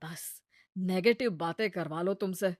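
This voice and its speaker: A woman's voice: fairly low pitch, a little slow, a little mellow, no room sound, clean studio sound, pitched below the performer's own voice, natural voice. A woman voicing a cocky, irresponsible gambler husband in a cartoon story, boastful and wheedling in manner. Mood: irritated.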